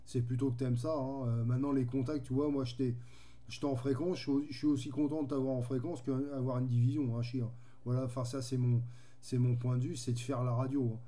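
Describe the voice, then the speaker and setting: Man with a very dark, mellow voice. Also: mid-range voice, little pitch movement, fairly quick. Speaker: amateur radio operator. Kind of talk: casual radio chat.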